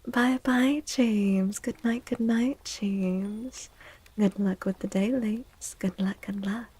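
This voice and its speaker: A woman livestream host chatting in a soft-spoken ASMR style, often dropping into a whisper, with a gentle, playful, cutesy and affectionate manner. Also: mid-range voice, fairly even intonation, slow, slightly rough.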